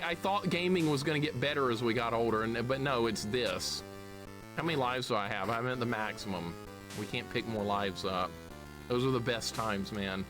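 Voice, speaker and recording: Male game streamer, 40s, American accent, mid-pitched, jokingly exasperated and sweary, casual chat over prominent retro game music. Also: low-quality recording. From southern United States. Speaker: live streamer playing a video game. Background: retro video game music.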